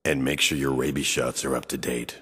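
An actor playing a grim, commanding masked vigilante in a video game, terse and controlled. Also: male voice, very deep voice, little pitch movement, a little fast, pushed and bright, breathy edge, in a large hall, exaggerated voice. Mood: stern. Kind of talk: video game cutscene acting. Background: music.

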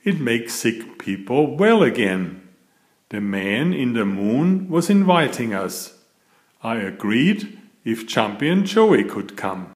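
Slow, lively male storybook narrator. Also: mid-range voice. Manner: deliberate, expressive storytelling for small children. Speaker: author reading his picture book aloud. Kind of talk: scripted children's storybook narration.

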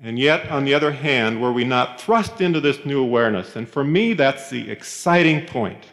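A man, a professor, giving a reflective, sermon-like chapel talk in a measured storytelling manner, with pauses. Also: mid-range voice, lively intonation, a bit bright. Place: large chapel, speaking to a congregation. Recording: reverberant chapel hall, 1990s cassette tape.

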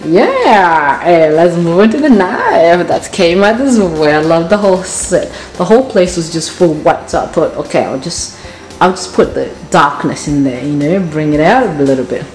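A woman's voice: fairly low pitch, animated, normal speed, a little mellow. A woman giving a casual home tour on a handheld phone, chatty and gushing, repeating words for emphasis. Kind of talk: casual house tour chat. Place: indoors, bright tiled home rooms. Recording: echoey home rooms with music behind. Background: background music.

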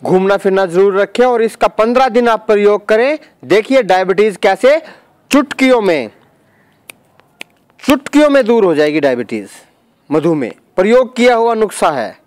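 A man with a high-pitched voice presents a practical how-to in voice-over. He is emphatic and instructional, repeating phrases to drive them home. The sound is dry and close, with very little room in it. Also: lively intonation, moderate pace, darkish tone, dry recording. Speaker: presenter of home remedy tutorial.

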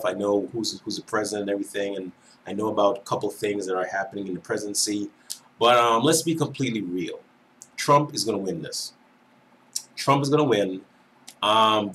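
A man's voice: fairly low pitch, fairly bright tone, a little hiss or hum, poor audio. A man in his thirties with an Antiguan accent, chatting casually through a reaction video at his desk, laughing and asking viewers if they agree. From Antigua and Barbuda. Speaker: reaction creator. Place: small room indoors.

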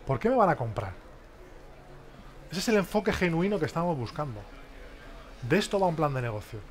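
A man's voice: a little high, brisk. A man in his thirties giving an interactive business workshop on a stage in a large exhibition hall, speaking into a headset mic and often quizzing the audience. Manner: lively, questioning the audience, many tag questions.

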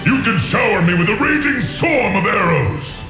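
A haughty, taunting dragon in a fantasy game. The voice booms boastfully with heavy echo. Music swells behind it. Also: male voice, expressive, normal speed. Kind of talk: scripted video game voice acting. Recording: echoing voice over music.

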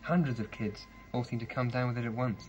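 A man's voice: medium pitch, a little fast, natural voice, poor audio. Man playing an earnest uncle, music behind. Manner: earnest, matter-of-fact. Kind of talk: audio drama acting, conversational.

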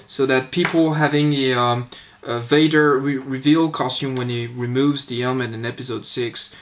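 Hesitant man over clear background noise. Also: medium pitch, steady tone. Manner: hesitant, halting delivery. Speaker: electronics hobbyist presenting own board. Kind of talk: informal product demonstration. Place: indoors at a workbench. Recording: noisy webcam-style audio with clicks.

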